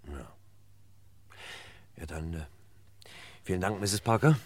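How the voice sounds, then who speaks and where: An actor playing a courteous, probing investigator in a radio crime play, speaking politely with thoughtful hesitations. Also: male voice, low voice, lively intonation, slow pace, darkish tone, no room sound, exaggerated voice. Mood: polite, pressing. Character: courteous, probing male investigator questioning a widow.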